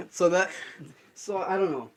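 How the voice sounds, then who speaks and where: A young man co-hosting a podcast, making his points in an animated, opinionated way and laughing easily. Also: high-pitched, slow, a crowd in the background. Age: young adult. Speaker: podcast co-host. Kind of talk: casual chat.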